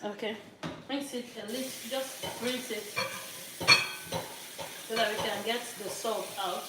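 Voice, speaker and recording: A woman's voice: a little high, measured pace. A woman from Nigeria with a Nigerian accent, talking casually as she cooks in her home kitchen, laughing often and stumbling over some words. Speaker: cooking vlogger. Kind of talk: casual cooking chat. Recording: echoey kitchen with faint noise.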